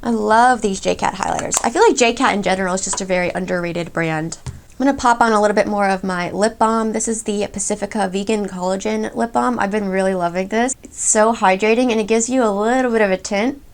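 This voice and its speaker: A woman's voice: mid-range voice, brisk. A woman in her thirties, a beauty vlogger, chatting through a makeup tutorial in a gushing, chatty way, a little congested from a cold. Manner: gushing, chatty product reviews. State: slightly congested.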